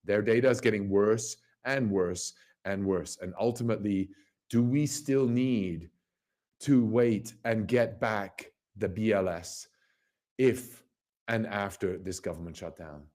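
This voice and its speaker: A man with a slightly hoarse voice hosting a casual livestream market commentary, rambling and emphatic, repeating phrases and posing rhetorical questions. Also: lowish voice, measured pace, a little mellow. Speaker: livestream host, company founder.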